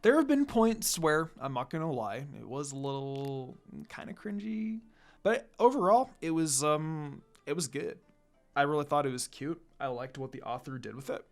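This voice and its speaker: An expressive reader and channel host narrating anime fan fiction in a casual, storytelling style. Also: medium pitch, moderate pace. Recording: background music.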